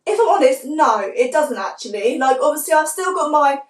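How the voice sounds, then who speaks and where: A young woman with a fairly high voice talks to camera, croaky and stuffed-up from a cold. She rambles softly, leaning on "like" as she goes. The sound is roomy and a little distant, as if the mic sits across her bedroom. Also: animated, normal speed. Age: young adult. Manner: soft-spoken, rambling, lots of "like". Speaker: vlogger. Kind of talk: casual to-camera chat.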